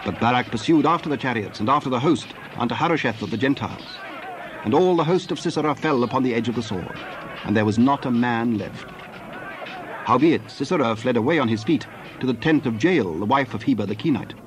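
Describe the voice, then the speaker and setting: A man's voice: medium pitch, lively intonation, a little fast, noisy background. A man narrating scripture as a scripted dramatized reading.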